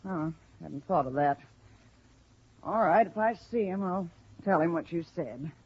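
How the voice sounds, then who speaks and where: An American actress playing an offhand, evasive hotel keeper in a 1950s radio drama. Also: female voice, lowish voice, lively intonation, measured pace, slightly rough, no room sound, exaggerated voice. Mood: uneasy, relenting. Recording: dull vintage 1950s radio recording.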